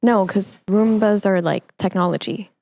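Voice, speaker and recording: A woman's voice: medium pitch, steady tone, normal speed, darkish tone, no echo. Woman hosting a casual chat, dry close sound. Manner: playful, teasing. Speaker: podcast host.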